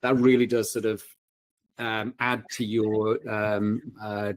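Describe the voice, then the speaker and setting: Man, low pitch, dry close sound, casual anecdotal chat, halting, self-correcting. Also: little pitch movement, no echo. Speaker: panel guest, self-published author.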